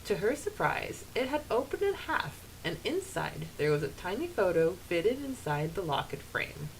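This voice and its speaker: A woman co-hosting a podcast, giggly and informal, mixing casual chat with expressive reading aloud. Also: lowish voice, moderate pace, creaky, poor audio. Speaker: podcast co-host. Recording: faint background hiss.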